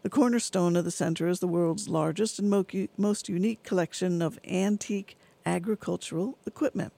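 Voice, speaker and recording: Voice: medium pitch, brisk, vocal fry, warm tone, no room sound. A radio DJ presenting songs and reading announcements between tracks in a studio. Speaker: radio DJ and host.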